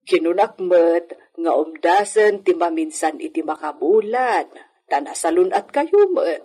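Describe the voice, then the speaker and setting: Slow, husky-voiced woman. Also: fairly low pitch, expressive, warm tone. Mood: caring, explanatory. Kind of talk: radio drama acting.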